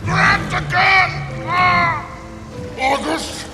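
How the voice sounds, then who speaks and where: Loyal young man in a game, very high strained pitch, loud score behind. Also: very slow, gravelly, full character voice. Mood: desperate. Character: young man Tiago, desperate, loyal friend of August. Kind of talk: video game cutscene acting. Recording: loud dramatic music behind voice.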